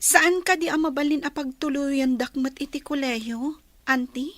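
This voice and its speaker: A woman's voice: high voice, fairly even intonation, moderate pace, a bit bright, no room sound. A woman playing a young farm girl in a radio drama, pleading and whiny, with a Filipino accent. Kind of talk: Ilocano radio drama acting.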